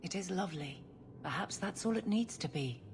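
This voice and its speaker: Low-pitched blunt guard captain, earnest, steadfast, firm, video game drama, noticeable background noise. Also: female voice, expressive, moderate pace. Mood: somber, resolute. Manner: earnest, firm, plain-spoken.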